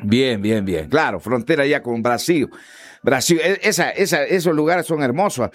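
A man's voice: mid-range voice, expressive, normal speed. A man from Bolivia with a Bolivian accent, hosting an interview, friendly and enthusiastic. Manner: friendly, enthusiastic, calls guest brother. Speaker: interviewer host. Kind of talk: interview conversation.

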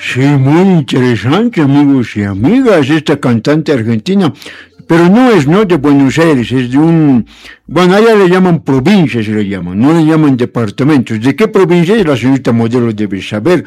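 A man's voice: mid-range voice, sing-song, moderate pace, a little mellow. A man playing a courteous, earnest radio host with a formal, repetitive manner in a comic radio play. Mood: courteous, curious. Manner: formal, repetitive, addresses listeners. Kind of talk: comic radio theatre hosting.

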